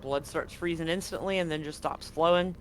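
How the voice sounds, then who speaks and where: A man's voice: fairly high pitch, fairly even intonation, fairly quick, slight background noise. A man narrating as game master of a tabletop roleplaying game, casual, with fillers.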